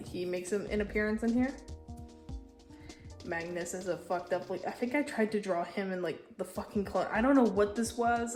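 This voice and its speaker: A young woman with a congested, stuffy voice, casually touring her sketchbook in a tired, low-key way and swearing now and then. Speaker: artist showing a sketchbook.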